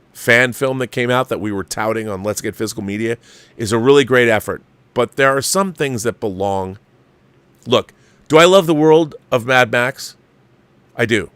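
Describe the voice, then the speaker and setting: A man's voice: on the low side, fairly bright tone, no room sound. A middle-aged American man hosting a livestream, chatting casually and with strong opinions about movies, repeating phrases for emphasis and reading out viewer letters. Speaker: livestream host.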